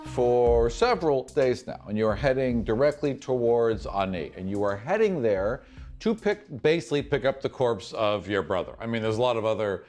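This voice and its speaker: A man's voice: mid-range voice, lively intonation, moderate pace, a little mellow, soft background music. A man acting as game master, narrating a tabletop fantasy game in an explanatory, conversational way.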